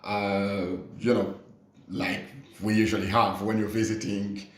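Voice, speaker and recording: A man speaks in a slightly low voice in a roomy, echoey space. He chats on a podcast in an earnest, reflective way, with a casual "you know" dropped in often. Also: level tone, a little slow.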